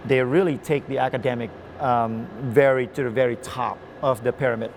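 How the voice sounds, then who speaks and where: A man's voice: medium pitch, fairly even intonation, brisk, slight background noise. A man from Bali who coaches college swimming, chatting in a rambling way with many fillers and restarts. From Indonesia (Bali). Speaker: swim coach. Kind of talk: casual interview.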